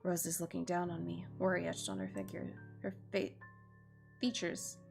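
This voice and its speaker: A woman's voice: fairly low pitch, normal speed, creaky, faint music behind. A woman streamer reading a visual novel aloud expressively, with casual, sweary reactions of her own.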